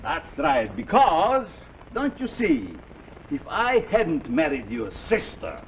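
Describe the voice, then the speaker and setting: An actor playing a domineering, boastful self-made general in a 1940s television suspense drama, with a gloating, halting delivery. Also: male voice, sits high, huge pitch swings, creaky, full character voice. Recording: noisy, humming 1949 television soundtrack.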